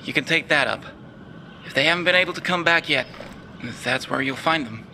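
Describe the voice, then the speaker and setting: A shaken, frightened soldier. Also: male voice, a little high, brisk, a little hiss or hum. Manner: nervous, rattled. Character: shaken young male soldier, scared survivor. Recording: cutscene with loud score music.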